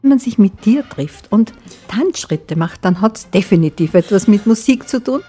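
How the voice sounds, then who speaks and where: A woman's voice: mid-range voice, expressive, moderate pace, warm tone, husky. A woman with an Austrian accent hosts a radio interview in a playful, colloquial way. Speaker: radio host. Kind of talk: lively casual interview. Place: radio broadcast. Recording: music behind the voice. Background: music.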